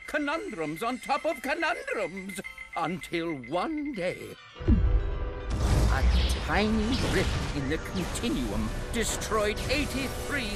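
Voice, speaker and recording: A man's voice: high-pitched, exaggerated voice. A man playing a cheerful, doddering elderly alien scientist in a video game cutscene, theatrical, bumbling and whimsical.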